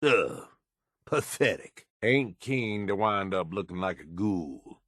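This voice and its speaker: A man voicing a cynical, ruthless raider henchman in a video game, with a rough husky voice and a folksy, slangy, sardonic drawl. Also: deep voice, animated, slow pace, fairly bright tone, no room sound, clean studio sound, heavily acted. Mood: disapproving, sarcastic. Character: gruff cynical ruthless male raider henchman in a post-apocalyptic wasteland.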